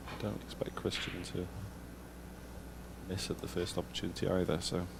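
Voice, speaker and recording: English guy commentating snooker, deep gravelly voice, calm and measured, some hiss behind. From England.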